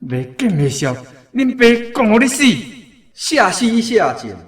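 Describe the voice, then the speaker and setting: A man's voice: sits high, big pitch swings, unhurried. An actor playing a furious, abusive male relative scolding and cursing in a radio drama. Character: furious, abusive Taiwanese father or brother cursing a daughter. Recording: echoey room.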